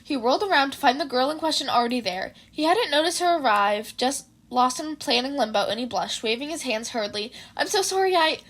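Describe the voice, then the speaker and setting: A woman's voice: high voice, a little fast, hardly any pauses, a bit bright. A woman podfic reader narrating fan fiction and chatting informally about it in a slangy way.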